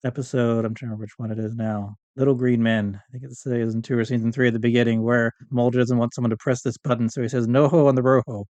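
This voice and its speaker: A man's voice: medium pitch, little pitch movement, quick pace, few pauses, darkish tone, dry recording. A middle-aged man chatting casually as a podcast guest, in an informal, rambling way. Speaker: podcast guest, audio fiction writer. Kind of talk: casual conversational interview.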